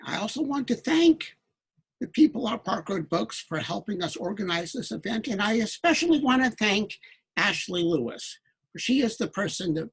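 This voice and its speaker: A man hosting an online children's book event, introducing it in formal, measured phrases with some hesitation. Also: high-pitched, gravelly, husky. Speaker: event host.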